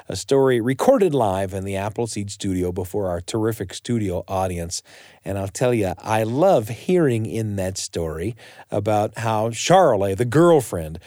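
A man's voice: fairly low pitch, no echo, clean studio sound. A man hosting a podcast, speaking conversationally and reflectively, directly to his listeners. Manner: animated, addresses listeners directly. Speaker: podcast host.

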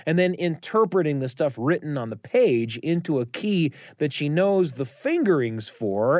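A man narrating a true story as host, in a scripted storytelling style with dramatic pauses. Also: medium pitch, darkish tone, no room sound, studio quality.

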